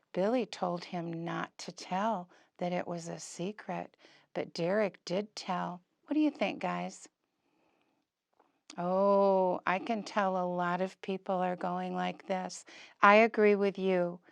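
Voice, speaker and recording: A woman presents a puppet lesson to young children at a slow pace. She speaks in short, simple, emphatic phrases and often puts questions to them. The sound is dry, with little room echo. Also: on the low side, fairly even intonation, gravelly.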